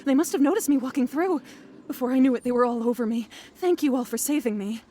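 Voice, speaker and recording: Woman voicing a high-voiced, polite, flustered scholar. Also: fast, mellow, a little hiss or hum, lightly acted. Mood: relieved. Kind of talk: video game voice acting.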